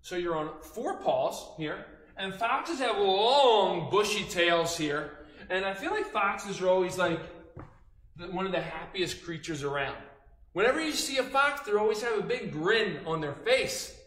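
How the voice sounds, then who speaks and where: A man working as a children's storyteller, animated and instructive, pausing between phrases as he invites listeners to act along. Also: sits high. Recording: echoey room.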